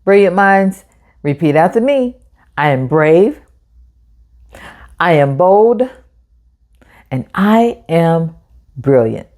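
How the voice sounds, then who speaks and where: A woman's voice: fairly low pitch, lively intonation, slow, long pauses, darkish tone. A woman hosting a children's storytime, talking like a teacher and encouraging young listeners with direct questions. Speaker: host of children's read-aloud storytime.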